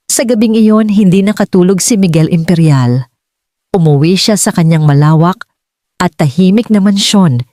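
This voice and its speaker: A woman's voice: fairly low pitch, dark tone, dry recording, studio quality. A woman with a Filipino accent narrating a scripted dramatic story as an audiobook reader.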